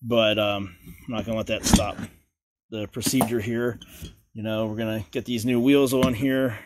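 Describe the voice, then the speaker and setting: A man's voice: lowish voice, moderate pace, noisy background. Folksy man explaining a garage repair. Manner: relaxed, folksy, chatty with fillers. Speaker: DIY video host. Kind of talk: casual how-to explanation.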